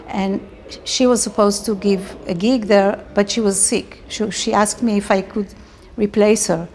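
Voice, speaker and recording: A woman's voice: medium pitch, a little mellow, slight background noise. A middle-aged woman from Israel with an Israeli accent, telling personal stories casually as an interviewee.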